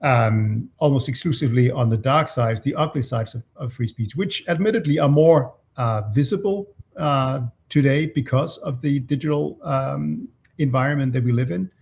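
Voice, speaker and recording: A man giving thoughtful, halting, argumentative interview answers as an author. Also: mid-range voice, darkish tone. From Denmark. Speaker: author and free speech advocate being interviewed.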